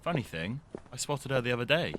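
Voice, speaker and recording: Clear background noise sits on this audio drama recording. An easygoing teenage skater boy, acted by a young man talks in a medium-pitched voice. His manner is casual and conversational, a little reflective. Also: sing-song, fairly quick, close to an everyday voice. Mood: gossipy, amused. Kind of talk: scripted audio drama dialogue. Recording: clearly noisy amateur recording.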